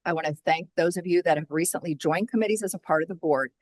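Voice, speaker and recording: Woman, board chair, low register, dry close sound, measured procedural delivery on a video call. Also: a little mellow, no room sound. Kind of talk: formal meeting chairing.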